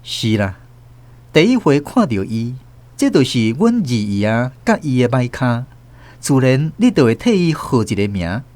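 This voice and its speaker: A man with a Taiwanese accent narrating a radio novel in an expressive storytelling manner. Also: mid-range voice, measured pace. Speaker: narrator and host.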